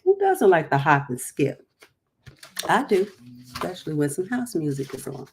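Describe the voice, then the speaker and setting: A woman's voice: deep voice, wildly swooping pitch, moderate pace, a little hiss or hum. A woman hosting a storytime, reading a picture book aloud with chatty, encouraging, emphatic asides.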